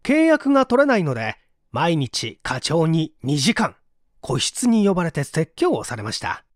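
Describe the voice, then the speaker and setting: A man narrating a book as scripted audiobook reading, expressive and clearly articulated. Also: a little high, moderate pace, dry recording, clean studio sound.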